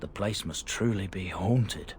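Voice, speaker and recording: A man's voice: low voice, measured pace, slight background noise. An actor playing a garrulous, uneasy male guide in a fantasy game, talking informally. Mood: nervous. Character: chatty, uneasy male merchant guide, history buff. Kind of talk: fantasy video game dialogue.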